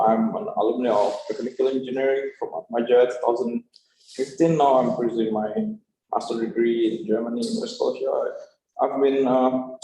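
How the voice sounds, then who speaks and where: A man's voice: mid-range voice, mellow. A man in his twenties, an alumnus guest on a talk show, chatting casually and self-deprecatingly. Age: 20s. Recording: echoey room with crowd.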